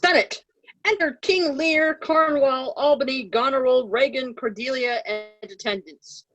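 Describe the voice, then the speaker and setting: A woman's voice: high-pitched, unhurried, a bit bright, dull audio. A woman reading out stage directions as scripted narration.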